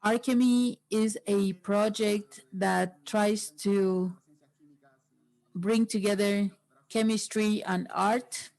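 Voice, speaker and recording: Slow, halting woman interpreting. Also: mid-range voice, fairly even intonation, a little mellow, soft background music, low-quality recording.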